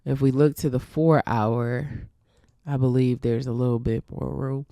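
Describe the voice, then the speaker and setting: A woman's voice: rumbling low, fairly even intonation, measured pace, dark tone, dry recording, studio quality. A congested woman teaching forex trading, walking through a chart casually and thinking aloud with ums and restarts.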